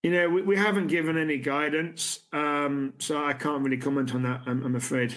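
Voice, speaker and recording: A man speaks on a video call, answering investor questions as a company's chief executive. He keeps stopping and restarting his sentences, filling the gaps with "um" and "you know". His voice sits a little high for a man. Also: fairly even intonation, a bit bright. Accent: non-American. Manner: halting, many restarts and fillers. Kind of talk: conference Q&A. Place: room with a city view at night.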